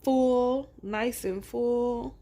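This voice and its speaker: A woman's voice: fairly high pitch, fairly even intonation, very slow, dark tone. Woman reading a children's story aloud. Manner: addresses young listeners directly, conversational. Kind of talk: read-aloud narration with chatty asides.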